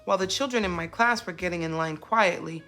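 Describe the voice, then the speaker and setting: A woman's voice: fairly low pitch, a little fast, pushed and bright, soft background music. A woman voicing an upset, sensitive young boy zebra in an earnest, plaintive storybook telling. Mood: troubled.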